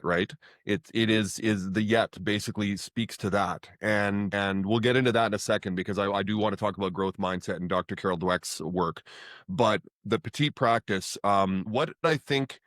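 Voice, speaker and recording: A man's voice: lowish voice, fairly bright tone, no room sound, clean studio sound. A man hosting a podcast, asking his guest questions in an earnest way, often restarting his words. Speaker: podcast host. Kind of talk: interview questions, casual podcast talk.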